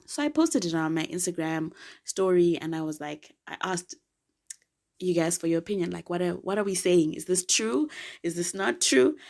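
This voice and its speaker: A young woman from Zimbabwe hosting her podcast, slightly raspy from a cold, chatting casually, laughing often and getting emphatic. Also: fairly low pitch. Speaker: podcast host. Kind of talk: casual solo podcast talk.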